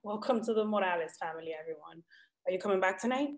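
A woman's voice: mid-range voice, fast, lightly acted. A young woman acting an affectionate, teasing girlfriend in a comedy table read over a video call.

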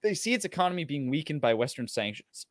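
A man's voice: fairly high pitch, sing-song, fast talker, dry recording, studio quality. A man, around his thirties, co-hosting a talk show, reading an article aloud with casual, sardonic asides. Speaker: show co-host. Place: indoors at home on a video call.

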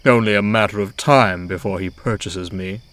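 A man's voice: on the low side, steady tone, normal speed, no echo, lightly acted. A man voicing a vain, boastful male tank engine who speaks in a grandiose, condescending way. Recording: loud music score behind.

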